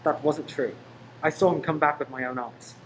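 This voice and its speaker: A man's voice: a little high, fairly even intonation, moderate pace, echoey room, close to an everyday voice, poor audio. A man playing an earnest, conflicted undercover police detective, pleading in a radio play. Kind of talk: amateur radio play acting. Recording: faint background noise.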